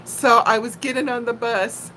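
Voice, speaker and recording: A woman in her sixties chatting casually as a live stream host, telling personal stories in a playful, animated way. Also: on the high side, moderate pace, a little hiss or hum, rough recording. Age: 60s. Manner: animated, playful storyteller who laughs easily and often. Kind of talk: casual chat and personal storytelling.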